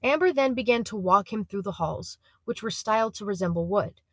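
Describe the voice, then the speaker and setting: A woman's voice: mid-range voice, lively intonation, brisk, dry recording. A woman reading scripted third-person narration for an audio drama.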